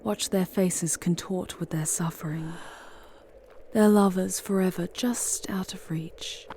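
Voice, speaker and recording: Woman playing a slow, sultry female demon. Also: fairly low pitch, fairly even intonation, dark tone, slight background noise. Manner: sultry, breathy, often dropping into whispers; theatrical, formal, drawn-out phrasing. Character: charming, seductive female demon guide through hell. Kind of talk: intimate ASMR roleplay, scripted monologue. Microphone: close ASMR microphone.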